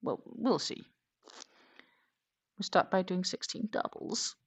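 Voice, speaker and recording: A woman's voice: lowish voice, takes it slowly, husky. A woman hosting a casual crochet livestream, chatting, reading out viewer comments and counting her stitches aloud. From Denmark. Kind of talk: casual chat while crocheting.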